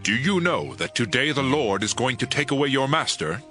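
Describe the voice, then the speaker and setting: An urgent prophet in a Bible audio drama. His voice is forceful and bright at a medium pitch, with faint music underneath. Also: expressive, moderate pace. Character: male member of a band of prophets.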